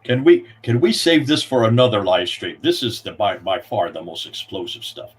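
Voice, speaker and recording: A man's voice: on the low side, expressive, normal speed, breathy edge. A man speaking as a guest in a religious debate, arguing in an emphatic, insistent way. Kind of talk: argumentative religious debate talk.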